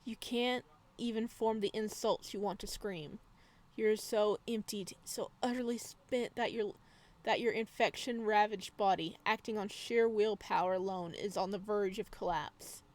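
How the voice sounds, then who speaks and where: A woman doing a video game let's play, reading game text aloud with expressive drama and adding casual asides. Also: mid-range voice, a little slow. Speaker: gaming video creator.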